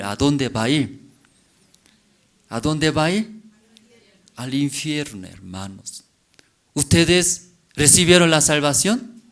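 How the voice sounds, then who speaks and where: A male pastor delivering a sermon, constantly asking the congregation rhetorical questions and checking in with a quick "mm?". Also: on the high side.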